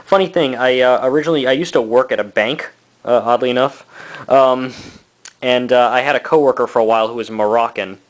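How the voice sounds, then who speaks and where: A man in his thirties giving a casual, rambling review, halting often with fillers and short laughs. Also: medium pitch. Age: 30s. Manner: laughs between thoughts. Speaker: book and comic reviewer.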